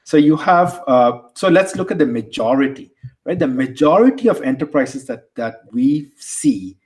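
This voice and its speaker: A man's voice: medium pitch, animated, normal speed, darkish tone. A man with an Indian accent, a guest on an interview podcast, explaining things conversationally and often repeating words as he restarts. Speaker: guest, tech executive.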